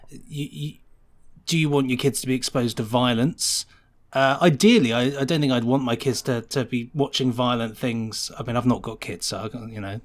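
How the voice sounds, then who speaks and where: A man talking casually as a podcast guest, in a chatty, rambling way. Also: mid-range voice. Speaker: podcast guest, sound designer. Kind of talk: casual conversational interview.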